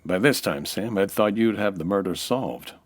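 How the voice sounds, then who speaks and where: An actor playing a hard-boiled, cynical American nightclub owner in a dry, clipped detective radio drama style. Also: male voice, fairly low pitch, lively intonation, normal speed. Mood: defensive. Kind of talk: 1940s detective radio drama narration and dialogue.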